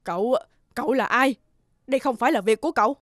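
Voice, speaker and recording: A woman's voice: a little high, fast talker, natural voice. A woman voicing a fretful middle-aged mother in a cartoon, exclamatory and probing, with a Northern Vietnamese accent. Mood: scolding, worried. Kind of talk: animated cartoon dialogue. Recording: music behind the dialogue.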